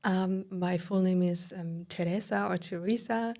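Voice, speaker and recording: A woman in her thirties from southern Germany with a German accent, a podcast guest recounting her life in a warm, filler-heavy way. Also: lowish voice, fairly even intonation, unhurried. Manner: warm, storytelling with many fillers. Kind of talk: casual interview conversation.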